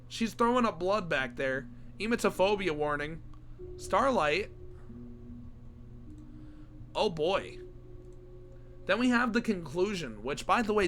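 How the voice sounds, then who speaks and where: A hoarse young man with a cold, chatting casually as host of a reaction video and swearing when something surprises him. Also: high-pitched, fairly bright tone.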